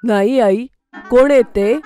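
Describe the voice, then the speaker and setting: Woman voicing an earnest high-voiced young schoolboy. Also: a little slow, warm tone, soft background music, close to an everyday voice. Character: eager young schoolboy, easily disappointed. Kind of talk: children's cartoon story acting.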